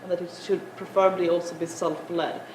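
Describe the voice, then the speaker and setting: A young woman who is not an English speaker gives a halting panel talk, full of filler sounds. Her voice sits somewhat low. The room is echoey, and there is clear background noise. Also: level tone. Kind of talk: conference talk. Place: large conference room. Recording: echoey conference room, noisy.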